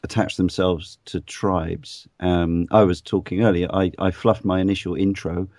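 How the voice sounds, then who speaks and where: A hoarse man hosting a podcast conversation, talking in a halting way with many restarts. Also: low-pitched, level tone, darkish tone, dry recording, studio quality. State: hoarse from shouting at football. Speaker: podcast host.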